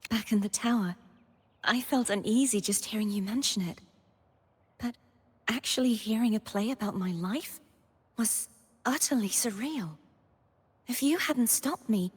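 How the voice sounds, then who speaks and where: A young woman playing a gentle, earnest, wistful maiden heroine in scripted video game lines, soft-spoken and breathy. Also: on the high side. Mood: wistful, shy. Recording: long reverb on the voice.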